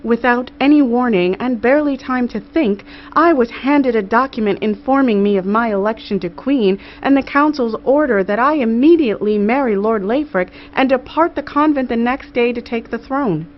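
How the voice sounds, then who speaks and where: A woman voicing an earnest, gracious queen giving a formal, measured address to her council in an audiobook. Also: on the high side, pitched above the performer's own voice, natural voice. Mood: wry, warm. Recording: muffled audio with clear background noise.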